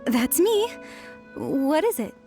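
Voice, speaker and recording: High, prickly young lady, animated. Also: slow pace, faint music behind, exaggerated voice. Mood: defensive, bitter. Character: lovelorn young woman, prickly, defensive and indignant. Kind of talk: video game voice acting.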